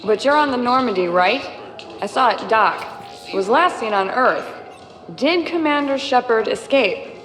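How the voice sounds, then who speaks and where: An actress playing an assertive, confident military war correspondent in a video game, persuasive in manner. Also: female voice, fairly high pitch, lively intonation, normal speed, a bit bright, faint music behind. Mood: insistent. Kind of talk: video game dialogue acting. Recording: reverberant hall sound.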